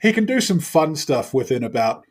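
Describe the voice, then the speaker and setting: A man's voice: fairly high pitch, very animated, moderate pace. A man chatting casually and jokingly as a player in a tabletop game, repeating himself for emphasis.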